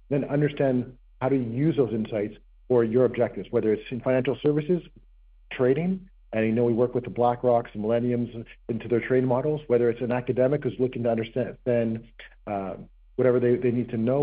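Hoarse male CEO, quick fact-packed investor pitch, tinny line. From Canada. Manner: brisk, fact-heavy, frequent restarts. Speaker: company CEO presenting. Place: conference session room.

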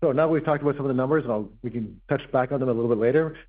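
Hoarse male CEO, quick fact-packed investor pitch, tinny line. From Canada. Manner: brisk, fact-heavy, frequent restarts. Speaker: company CEO presenting. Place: conference session room.

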